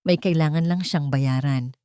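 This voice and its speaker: In this story drama, a woman plays a menacing man collecting debts with veiled threats. The voice is mellow and recorded close and dry. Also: low voice, moderate pace, clear, resonant tone, no room sound, natural voice. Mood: coldly menacing.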